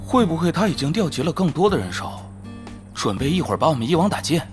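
一名男演员在广播剧中饰演忠心、爱猜测的公会副手，说话恭敬而生动。. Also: male voice, a little high, sing-song, fast, soft background music. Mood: speculating. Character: loyal, eager male guild lieutenant, speculative sidekick. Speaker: voice actor. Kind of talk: Chinese audio drama acting.

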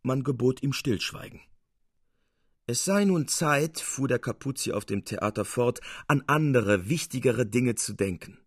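A man narrating a scripted literary tale for radio as an expressive, theatrical storyteller. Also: mid-range voice, measured pace, breathy edge, no echo, clean studio sound.

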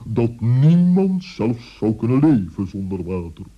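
A man's voice: medium pitch, sing-song, unhurried, breathy edge. A man playing a self-important, earnest talking bird in a children's radio play, solemn and fussy. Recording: muffled old radio play recording.

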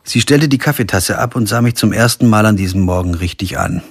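An actor playing a brooding, weary middle-aged lawyer who narrates his own investigation in a measured, introspective way in a radio crime drama. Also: on the low side, breathy edge, dry recording. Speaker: narrator and lead. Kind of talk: scripted first-person narration and dialogue in a radio crime drama.

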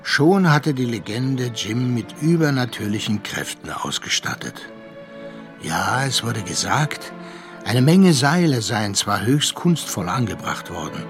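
Measured literary narrator over music. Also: male voice, medium pitch. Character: measured literary male narrator, worldly listener to the adventurer. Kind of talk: scripted radio drama narration. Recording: music behind the voice.

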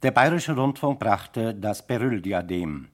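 A man's voice: on the low side, lively intonation, unhurried, over music. A man reading closing credits as a radio announcer in a formal, scripted style.

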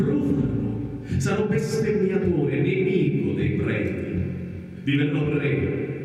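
In a vast reverberant hall, set back from the mic over faint music, a male actor plays a grandiose male demagogue declaims a radio-theatre monologue. His phrasing is emphatic and rhetorical, in a fairly high voice. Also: warm tone, lightly acted. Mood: grandiose, promising. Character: grandiose, shape-shifting demagogue promising to save and rule mankind. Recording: very echoey hall, distant voice.

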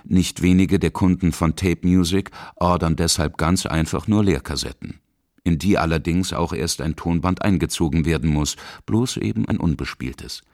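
A man's voice: sits low, normal speed, darkish tone, no room sound, clean studio sound. A man reading scripted narration for a radio feature as its reporter. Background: music.

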